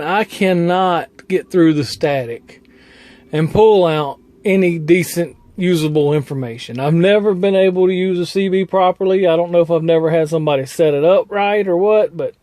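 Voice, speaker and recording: A male truck driver with a drawl talks in a folksy, rambling, plainspoken way. His voice sits fairly high for a man. The sound is close and dry, with no room around it. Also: warm tone, dry recording. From United States. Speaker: owner-operator truck driver. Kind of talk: casual vlog talk.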